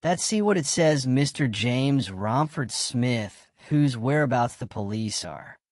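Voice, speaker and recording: A man's voice: mid-range voice, lively intonation, slow pace, no room sound, clean studio sound. Blunt, jealous countryman. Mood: insistent. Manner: blunt, needling, colloquial. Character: jealous, suspicious rural man, blunt and needling. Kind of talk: radio drama acting.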